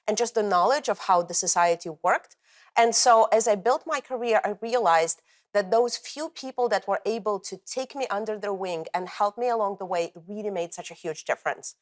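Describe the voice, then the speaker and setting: A middle-aged woman from the former Soviet Union speaks as a guest in a television interview. She talks with passion and tells her story vividly, her voice at an ordinary middle pitch. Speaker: interview guest, corporate coaching leader. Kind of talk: conversational interview.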